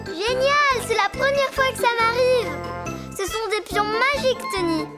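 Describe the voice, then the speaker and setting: A woman voicing a cheerful, imaginative little girl elephant in a preschool cartoon, with a childlike, excitable delivery. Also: very high voice, a little slow. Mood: enthusiastic. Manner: excitable, exclamatory. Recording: loud children's music behind.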